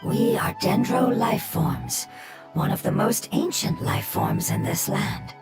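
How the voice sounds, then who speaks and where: Gentle ancient plant spirit, lively intonation. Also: female voice, mid-range voice, vocal fry, full character voice. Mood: calm, explanatory. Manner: measured, earnest, formal phrasing. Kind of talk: anime game dialogue. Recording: loud music behind the voice.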